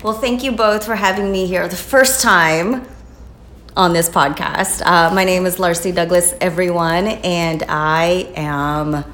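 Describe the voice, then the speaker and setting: A woman's voice: lowish voice, fairly even intonation, a little slow, fairly bright tone. A woman from the Philippines joining a podcast as a guest, speaking hesitantly and searching for words. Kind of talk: casual podcast conversation. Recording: echoey room with faint noise.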